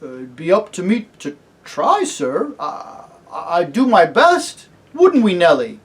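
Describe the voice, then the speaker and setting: A plain-spoken storybook cabbie, played by a male reader with a Cockney accent softened toward English country speech. He is humble and deferential, pitched up from ordinary talk, hesitating and stumbling over his words. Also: wildly swooping pitch, slow, exaggerated voice. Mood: earnest. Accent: Cockney shading into English country. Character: humble, flustered working-class cabbie made king. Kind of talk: storybook character reading.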